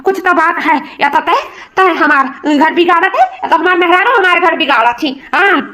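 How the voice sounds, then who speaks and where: Really high cartoon village husband guy, someone doing the voice, awadhi accent, jealous blustery type, repeats himself. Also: lively intonation, moderate pace, bright, forceful tone, slight background noise, exaggerated voice. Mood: jealous, threatening. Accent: rural Awadhi. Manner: repetitive, colloquial, blustering. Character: hot-headed, jealous, boastful village husband. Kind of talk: comedic cartoon dialogue.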